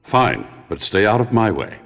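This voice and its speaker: An actor playing a stern, proud and reserved mage in a fantasy game, very deep, grave and curt. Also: male voice, big pitch swings, normal speed, vocal fry, a bit bright, exaggerated voice. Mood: curt, disdainful. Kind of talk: dramatic fantasy game acting. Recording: long reverb, music behind.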